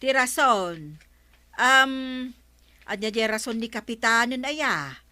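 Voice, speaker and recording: Comedy radio drama: evasive village woman, bright, higher-pitched, dodging and defending. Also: expressive, slow pace, no room sound, heavily acted.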